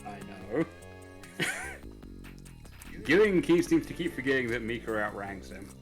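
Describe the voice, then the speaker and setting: A man giving casual commentary on a video game, rambling and trailing off softly. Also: medium pitch, animated, a little slow, soft background music. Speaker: let's play co-commentator.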